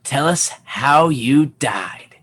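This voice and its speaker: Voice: mid-range voice, lively intonation, drawn-out words, very noisy. An actor playing an eager, nosy, teasing boy who blurts things out in a comedy radio play. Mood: gleeful, mocking. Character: eager, gleefully mean, nosy boy Huxley. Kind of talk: comedy radio theatre acting.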